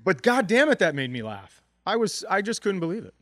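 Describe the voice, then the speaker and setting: Male comedy podcast co-host, higher-pitched, swooping animated storyteller who plays out dialogue. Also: a little fast, no room sound. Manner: animated, acts out quoted dialogue.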